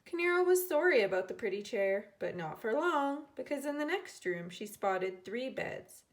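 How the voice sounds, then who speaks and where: Woman, teacher read-aloud, higher voice, animated intonation, children's storybook style. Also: moderate pace. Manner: expressive, dramatizing characters' lines.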